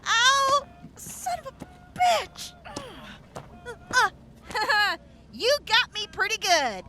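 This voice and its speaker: A woman playing a brash, tough, boisterous fighter and mentor in an audio drama, blunt and quick to swear. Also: extremely high pitch, sing-song, background noise, heavily acted. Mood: pained, amused.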